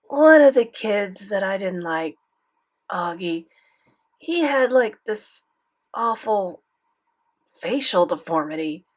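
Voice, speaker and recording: Woman as schoolboy narrator, chatty, confiding, defensive, eager for approval, colloquial, medium pitch, audiobook storytelling. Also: expressive, slow, long pauses. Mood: defensive, blunt. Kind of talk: first-person audiobook narration.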